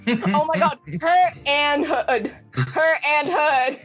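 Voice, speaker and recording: Slow-talking, higher-voiced woman gamer. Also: a bit bright, faint music behind. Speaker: tabletop role-playing player. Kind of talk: casual chat, halting in-character talk.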